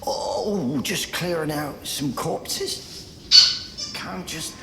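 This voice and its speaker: An actor playing a jittery, furtive male body gatherer in a dark fantasy drama, nervous and halting in colloquial speech. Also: a little high, a little hiss or hum, exaggerated voice. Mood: startled, sheepish. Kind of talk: fantasy game or audio drama dialogue. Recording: echoey, with loud music over it.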